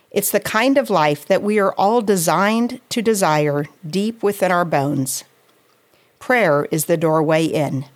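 A woman reading a scripted devotional in a measured, reflective and earnest manner. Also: fairly low pitch. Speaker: devotional writer and reader.

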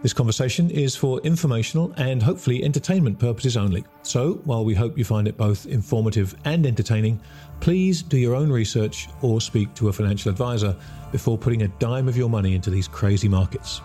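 A man hosting a podcast, with a voice in the middle of the usual range. He speaks articulately and at an easy pace as he moves into a relaxed chat with his guest. Faint music sits underneath. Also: mid-range voice, fairly quick. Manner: articulate, warm scripted intro then relaxed chat. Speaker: podcast host. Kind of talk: conversational interview.

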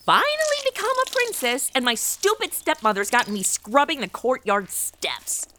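A woman's voice: high-pitched, very animated, normal speed, background noise. A woman hosting and acting in a playful radio comedy, with a perky, modern, slangy delivery. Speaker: show host and lead actress.

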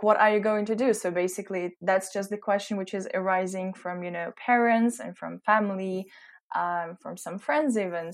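A young woman in her twenties answers an interviewer's questions in a reflective, unhurried way. Her accent blends British and American sounds. She often pauses and hunts for the right word, with her voice sitting at an ordinary middle pitch. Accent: mixed British and American. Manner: hesitant, searching for words. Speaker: interview guest, recent graduate.

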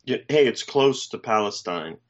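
Low-pitched American man, slightly muffled sound. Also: expressive. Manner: deliberate, opinionated, rhetorical questions. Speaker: talk show co-host. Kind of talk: casual political commentary.

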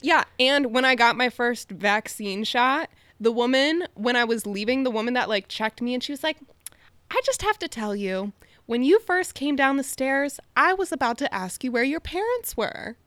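A woman's voice: sits high, animated, normal speed, fairly bright tone, no room sound. A woman in her twenties co-hosting a podcast, telling stories in a casual chat full of "like". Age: 20s. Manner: chatty, storytelling with lots of "like". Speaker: podcast co-host.

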